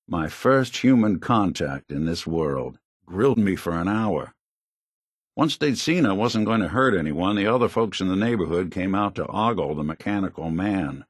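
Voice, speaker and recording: A weary, kind-hearted robot gumshoe with a deep, husky voice, straight out of film noir. He talks in wry, folksy, hard-boiled slang, and the sound is close and dry, as game dialogue. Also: dry recording, heavily acted. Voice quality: gravelly, husky. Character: world-weary, kindly android noir detective, middle-aged male.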